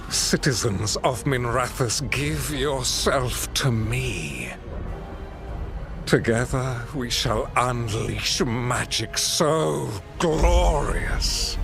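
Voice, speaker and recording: An actor playing a grandiose ancient tyrant god in a fantasy video game, pompous, commanding and regal in measured speech. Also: male voice, medium pitch, creaky, heavily acted. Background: music.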